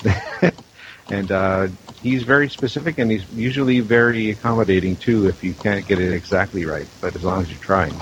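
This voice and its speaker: A man talking casually on a panel as an audio producer, with measured, hesitant phrasing. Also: fairly low pitch. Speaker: audio producer and sound designer. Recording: muffled, slightly noisy line.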